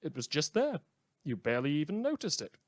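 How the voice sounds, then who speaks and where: A man's voice: a little high, huge pitch swings, a little fast, dry recording, clean studio sound. A man playing a wry, opinionated community radio host in an audio drama, reading scripted news with a theatrical, dryly ironic delivery. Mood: theatrical, ominous.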